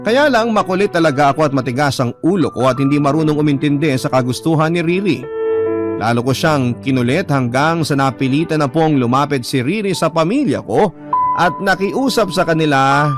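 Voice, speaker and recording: A man's voice: on the high side, expressive, normal speed. A man with a Filipino accent reading a first-person love story as sorrowful, earnest dramatic narration. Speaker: radio drama letter reader. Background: background music.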